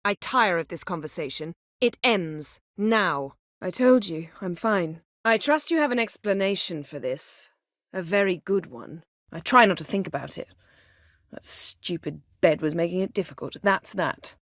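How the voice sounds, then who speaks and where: Haughty sorceress lady from a fantasy game, kinda low voice, cool n clipped, sardonic, very formal. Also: animated, unhurried, fairly bright tone, no room sound, clean studio sound. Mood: curt, irritated. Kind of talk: video game voice acting, scripted dialogue.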